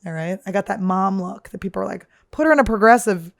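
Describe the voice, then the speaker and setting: A woman with a deep, damaged-sounding voice hosts a comedy podcast from a studio. She rambles nonstop through crude, self-mocking stories. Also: quick pace, vocal fry. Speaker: podcast host.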